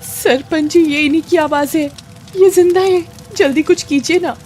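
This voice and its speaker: A woman voicing a worried village wife, urgent and imploring, in an animated story. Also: high-pitched, moderate pace, a little hiss or hum, voice pushed up, natural voice. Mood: pleading. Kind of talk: Hindi animated story acting.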